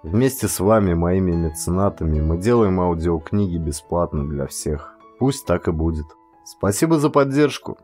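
A male audiobook reader addresses his audience directly. He speaks plainly and in a subdued way, lower than his narrating voice. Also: lively intonation, normal speed, hardly any pauses, a little mellow, faint music behind. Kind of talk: channel support appeal to listeners.

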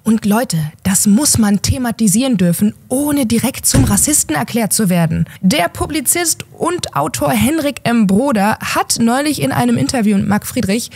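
A woman's voice: on the high side, expressive, normal speed, hardly any pauses, a little mellow, slightly rough. A young woman hosting an opinion show, talking sarcastically and emphatically into a desk mic in a home studio. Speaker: host. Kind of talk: opinion commentary. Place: home studio with gaming chair.

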